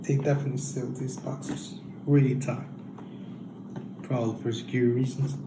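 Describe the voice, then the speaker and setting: A man presenting a comic unboxing video in a casual vlog style, with a halting, understated delivery and drawn-out phrases. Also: medium pitch, little pitch movement. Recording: roomy echo, loud background noise.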